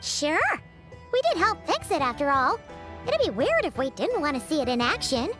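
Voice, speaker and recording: A woman voicing a small, cheerful, childlike companion in an English video game, squeaky, chatty and excitable. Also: normal speed. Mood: curious, casual. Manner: perky, refers to self in third person. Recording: game music playing behind.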